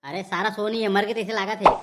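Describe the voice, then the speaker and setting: Woman voicing a fast-talking, jittery Chhattisgarhi village lad. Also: medium pitch, fairly even intonation, very noisy, close to an everyday voice. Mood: crude, complaining. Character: jittery, wisecracking young village men in trouble. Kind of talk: Chhattisgarhi comedy cartoon voice acting.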